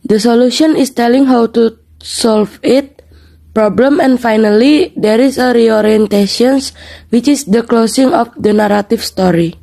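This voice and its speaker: A young Indonesian-accented woman co-hosts a student podcast with scripted lessons. Her voice is slightly high yet soft and rounded in tone. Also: measured pace, gravelly.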